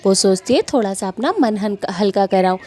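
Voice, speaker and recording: A woman narrating a cartoon story in a plain, steady way. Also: mid-range voice, normal speed, soft background music.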